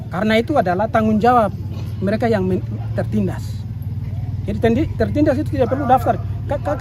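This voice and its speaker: A man with a fairly high voice and a Papuan Indonesian accent speaks as an activist leader in an interview. He breaks off and repeats words, and clear outdoor traffic noise sits behind him. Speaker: organisation chairman, activist. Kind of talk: interview statement.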